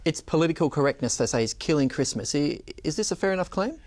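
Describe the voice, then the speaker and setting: A man's voice: on the high side, lively intonation, fast, darkish tone, no echo. A man hosting an interview, easygoing and asking questions with small restarts. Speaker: interview host. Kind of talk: conversational studio interview.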